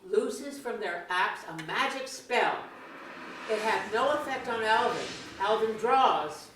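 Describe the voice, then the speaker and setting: A woman's voice: on the high side, animated, takes it slowly. A woman reading out scripted stage directions as narrator at a staged reading of a play. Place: museum auditorium, staged reading. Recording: very echoey, noisy distant auditorium.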